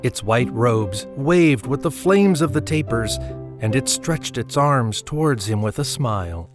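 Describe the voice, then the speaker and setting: A man narrating a Christmas story in an audio drama, with measured, expressive literary delivery. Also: medium pitch, natural voice. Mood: measured wonder. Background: music under the voice.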